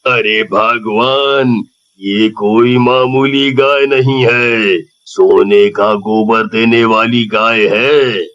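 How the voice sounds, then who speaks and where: A greedy, self-important village chief from a cartoon folktale, played by a man. He speaks slowly and theatrically in a mid-pitched voice, on a slightly muffled track. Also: fairly even intonation, fairly bright tone. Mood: smug. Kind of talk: Hindi cartoon story voice acting.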